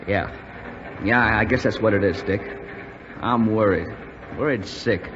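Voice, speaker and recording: An actor playing an earnest, self-doubting young army pilot trainee in 1940s radio drama style. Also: male voice, on the low side, a little slow, noisy background, natural voice. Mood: worried, downcast. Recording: dull 1940s radio broadcast.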